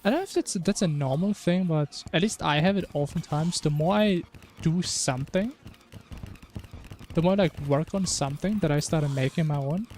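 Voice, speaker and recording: A man's voice: fairly high pitch, normal speed, darkish tone. A man streaming a video game, chatting casually and musing in a rambling way. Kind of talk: casual chat while gaming.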